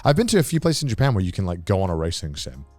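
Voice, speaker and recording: Fast, animated low-voiced man. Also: no echo, soft background music. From United Kingdom. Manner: sweary, slangy, emphatic. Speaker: podcast co-host. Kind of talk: casual gaming podcast chat.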